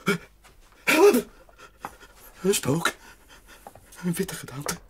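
An actor playing a timid, stammering manservant who frightens easily, in a children's audio play. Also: male voice, fairly high pitch, extremely slow, bright, forceful tone, full character voice. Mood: frightened. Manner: stammering, jittery. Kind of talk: children's radio play acting. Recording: clearly noisy audio play recording.

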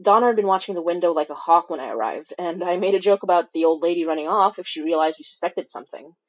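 A woman's voice: fairly low pitch, fast, muffled recording, lightly acted. An actress playing a wry, weary young woman who recounts eerie events in a conversational, hesitant way, as in a horror fiction podcast. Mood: wry storytelling. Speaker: audio drama lead narrator. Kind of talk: confessional first-person horror podcast narration.

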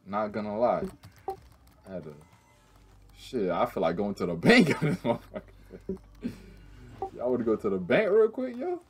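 A man's voice: fairly low pitch, sing-song, normal speed, long pauses, darkish tone, breathy edge, soft background music. A man chatting casually with his teammates during a game, slangy and prone to swearing. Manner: slangy, profane. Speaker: gaming teammate. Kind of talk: casual chat over gameplay.

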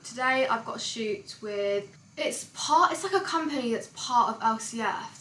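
A woman's voice: fairly high pitch, low-quality recording. A young woman chatting to a handheld camera in a casual vlog, full of fillers like "like". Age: young adult. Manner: chatty, filler-heavy, lots of "like". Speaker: vlogger. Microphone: handheld camera close to the face. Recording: loud background noise.

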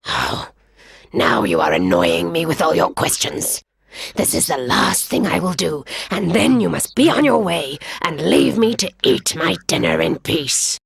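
A woman voicing a proud, hungry male lion king with a deep, breathy growl, speaking slowly and menacingly in a storybook. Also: huge pitch swings, no echo, exaggerated voice. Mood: irritated. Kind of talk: storybook read-aloud.

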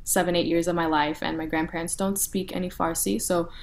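A young woman from North Texas, speaking as an interview guest in a relaxed way with lots of "you know" and "like" fillers. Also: on the low side, a little fast, creaky. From North Texas, USA. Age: young adult. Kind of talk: casual interview talk.

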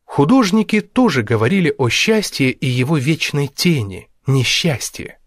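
A man narrating a nonfiction audiobook in measured, deliberate phrases with pauses. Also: fairly high pitch, sing-song, dry recording, clean studio sound. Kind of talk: scripted narration of a nonfiction book.

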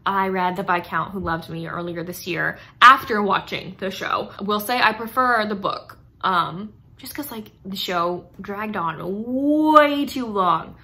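A woman's voice: medium pitch, a little slow, few pauses, pushed and bright. A woman in her twenties chatting casually to camera as a book vlogger, gushing and emphatic in an informal way. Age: 20s. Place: small indoor room lined with bookshelves.

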